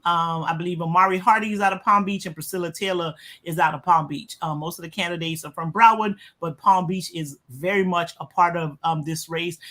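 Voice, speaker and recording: A woman's voice: lowish voice, brisk, few pauses, fairly bright tone. A woman co-hosting a political talk show whose first language is not English, speaking in a rambling, conversational way. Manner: rambling, many fillers and restarts. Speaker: co-host.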